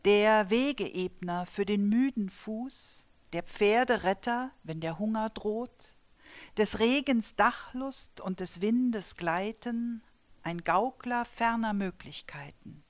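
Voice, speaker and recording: A woman in her fifties from the German Saar-Palatinate border region, a poet presenting and reading her own poems in a measured, deliberate way. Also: medium pitch. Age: 50s. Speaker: poet and dramaturge.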